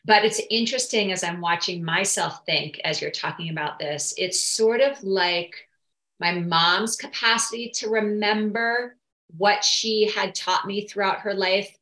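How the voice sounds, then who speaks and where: A woman's voice: mid-range voice, measured pace, pushed and bright, roomy sound, low-quality recording. A woman co-hosting a podcast interview, thoughtful and searching for her words. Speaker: podcast co-host. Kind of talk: conversational interview.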